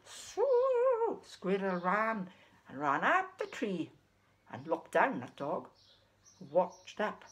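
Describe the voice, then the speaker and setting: Children's storyteller: middle-aged woman, unhurried, animated intonation, drawn-out phrases. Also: lowish voice. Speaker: puppeteer and storyteller. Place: small living room, indoors.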